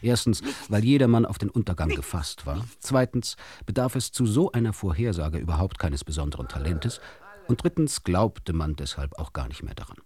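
Male narrator, low register, expressive melody, dry measured delivery of absurd literary tales, music underneath. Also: slightly rough. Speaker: narrator of short absurd stories. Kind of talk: scripted literary narration for radio drama.

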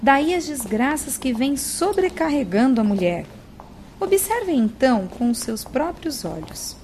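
An actress playing a calm, formal female spirit who directs a women's hospital in a radio play. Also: sits high, unhurried, a little hiss or hum. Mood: calm welcoming.

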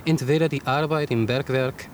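A man's voice: mid-range voice, steady tone, normal speed, slight background noise, lightly acted. A man playing a young, fatalistic Bolivian revolutionary functionary in a radio drama. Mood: earnest, fatalistic.

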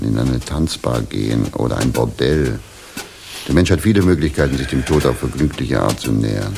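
An actor playing a weary, fatalistic drifter who philosophizes in a laconic, dry way in an absurdist radio play. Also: male voice, rumbling low, vocal fry, full character voice. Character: weary, philosophizing male drifter waiting with suitcases. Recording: noisy 1980s radio play recording.

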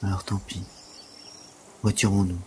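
Low-voiced, prim, aloof gymnastics teacher. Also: male voice, level tone, measured pace, warm tone, slight background noise. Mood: resigned, formal. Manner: formal, dry, precise. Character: prim, aloof, penniless male gymnastics teacher, sickly but dignified. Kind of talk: French audio drama acting.

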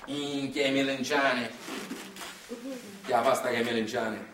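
A man's voice: mid-range voice, steady tone, measured pace, fairly bright tone, a little hiss or hum. Echoey, distant Sicilian man storytelling. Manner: folksy, colloquial with dialect words. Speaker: stage storyteller. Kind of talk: theatrical monologue. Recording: very echoey room, distant mic.

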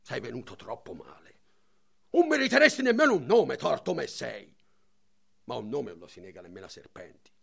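A woman acting a fussy, scolding marble cutter and exasperated father in a theatrical radio play, with a creaky, strained voice. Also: rumbling low, sing-song, normal speed, pauses often, full character voice. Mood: grudging.

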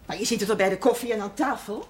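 An actress playing a brisk, inquisitive village woman in a Dutch period TV drama, questioning insistently on noisy old audio. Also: mid-range voice, close to an everyday voice. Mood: prying, insistent.

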